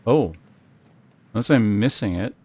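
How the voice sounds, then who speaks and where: A man's voice: on the low side, animated, a little slow, dark tone, a little hiss or hum. A man giving casual, rambling gameplay commentary as a streamer, thinking aloud as he plays. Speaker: game streamer.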